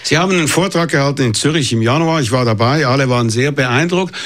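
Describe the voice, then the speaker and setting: A man's voice: medium pitch, fast talker, fairly bright tone. A man interviewing a guest, asking probing, chatty questions.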